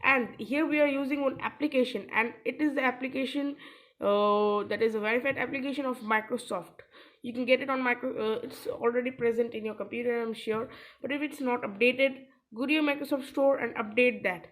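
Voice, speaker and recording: A boy, giving a casual step-by-step tech tutorial in a halting way. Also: very high voice, steady tone, a bit bright. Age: child, early teens. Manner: halting, searching for words. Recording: distant mic, faint background noise.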